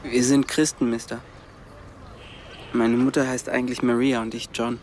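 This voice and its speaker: A man's voice: mid-range voice, level tone, moderate pace, a little hiss or hum, lightly acted. Man playing a polite, earnest teenage boy. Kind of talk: radio drama acting.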